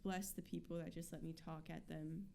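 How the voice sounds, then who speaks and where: A twenty-one-year-old woman, a band member, chatting in a radio studio interview, talking fast with lots of fillers and little laughs. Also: deep voice, flat delivery, creaky, dark tone, slight background noise. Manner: chatty, many fillers, giggly. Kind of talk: casual interview chat.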